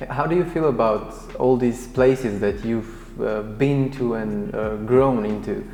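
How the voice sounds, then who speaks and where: A man's voice: medium pitch, measured pace, mellow. Man hosting in an echoey hall. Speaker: interview host. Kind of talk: hosted interview. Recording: echoey hall with faint music.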